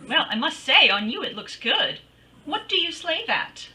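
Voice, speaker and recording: A woman acting as a breezy female private eye from an old radio serial. The voice is very bright and forceful, pitched high, and swings widely up and down. The delivery is sassy and full of quips. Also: big pitch swings, moderate pace, full character voice. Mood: breezy, surprised. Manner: sassy, quippy, slangy. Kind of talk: old-time radio detective drama acting.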